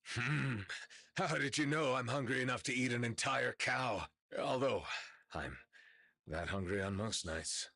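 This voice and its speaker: An actor voicing a laid-back young husband villager in a video game, thoughtful and wry. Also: male voice, mid-range voice, a little slow, vocal fry, pushed and bright, heavily acted. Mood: amused. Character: easygoing young adult husband villager, warm. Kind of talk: video game character dialogue.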